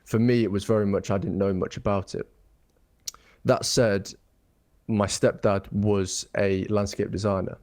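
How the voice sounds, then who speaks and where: Young man, low voice, rambling. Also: little pitch movement, measured pace, darkish tone. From England. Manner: thoughtful, rambling, many ums and restarts. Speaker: guest architect. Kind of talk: casual interview chat.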